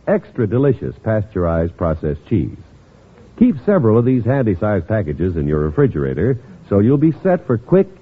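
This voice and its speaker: A man's voice: on the low side, husky, phone-quality sound. A man working as a radio announcer, reading a scripted 1950s commercial and narration with a polished sales delivery. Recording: thin, band-limited old radio broadcast.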